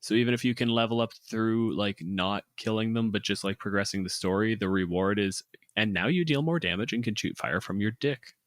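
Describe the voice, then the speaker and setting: A man's voice: fairly low pitch, brisk, a bit bright, dry recording. A man co-hosting a podcast in casual, conversational discussion. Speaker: podcast co-host.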